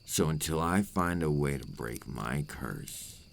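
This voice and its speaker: A man's voice: very deep voice, lively intonation, measured pace, creaky, a little hiss or hum. A man playing a possessive, remorseful vampire captor in an intimate ASMR roleplay monologue, speaking in formal, old-fashioned phrases.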